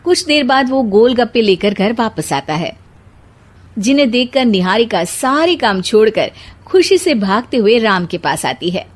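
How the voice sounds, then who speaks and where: A woman narrating a cartoon story in a steady, matter-of-fact scripted style. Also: fairly high pitch, unhurried.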